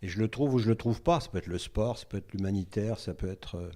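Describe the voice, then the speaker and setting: A man's voice: fairly low pitch, fast talker, warm tone. A man with a French accent moderating a classroom debate, encouraging and given to rhythmic repetition.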